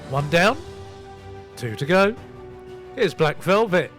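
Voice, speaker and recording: A man presents a soul music radio show in a casual, chatty way. His voice sits a little high, and he pokes fun at himself while running through record details. Some music plays behind him. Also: animated, slow pace, fairly bright tone. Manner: chatty, self-mocking, reading out record details. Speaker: radio host and DJ.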